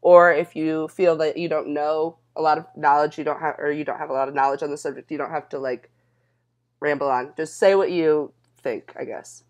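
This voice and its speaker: A woman's voice: low voice, steady tone, a little fast, a little mellow. A young woman conducting a student interview, talking informally and reassuringly as she puts questions. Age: young adult. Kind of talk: casual interview with scripted questions.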